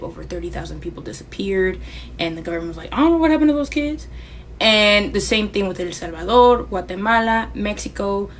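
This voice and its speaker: A woman's voice: medium pitch, fairly bright tone, a little hiss or hum, low-quality recording. A woman explaining a history point in a teaching manner and giving examples. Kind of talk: educational commentary.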